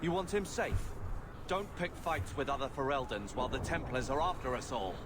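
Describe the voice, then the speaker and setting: Man playing sarcastic game warrior, swinging pitch. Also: lively intonation, normal speed, noisy background, natural voice. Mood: stern. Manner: sarcastic, challenging. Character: prickly, sarcastic young warrior brother. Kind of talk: video game voice acting. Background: game music.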